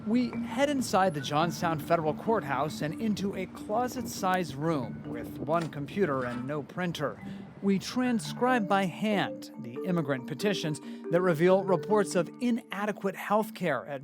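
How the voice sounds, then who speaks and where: Earnest reporter narrating over music. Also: male voice, on the high side. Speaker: news reporter. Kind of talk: scripted news narration.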